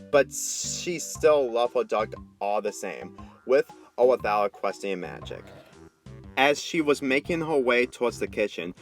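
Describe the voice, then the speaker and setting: A man's voice: medium pitch, a bit bright. A man reading a story aloud as scripted narration, now and then stumbling and repeating words. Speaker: fan fiction reader.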